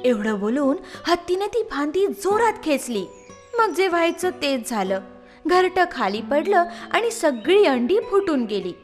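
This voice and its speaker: A woman reads a children's moral story aloud in an expressive, lilting style. Her voice sits high and swings lively in pitch. Soft music plays underneath. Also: unhurried. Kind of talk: scripted children's story narration.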